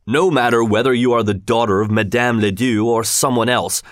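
A man's voice: mid-range voice, fairly even intonation, moderate pace, no room sound, studio quality, close to an everyday voice. A man playing a stern, suspicious leader of citizen guards, with a commanding manner, in an audiobook story. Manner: commanding, challenging.

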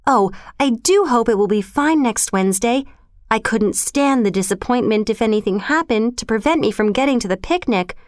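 An excitable, earnest little girl from a storybook, acted out by a woman. Her voice is high and clear, with a breathless, dramatic gush. The sound is dry and close. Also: normal speed, dry recording, close to an everyday voice. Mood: excited. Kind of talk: storybook character voice.

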